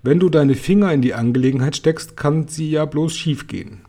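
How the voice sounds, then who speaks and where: A man's voice: a little high, moderate pace, creaky, dark tone. A man voicing a gloomy, pessimistic male earthworm who mutters sourly in a children's story. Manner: muttering, sour.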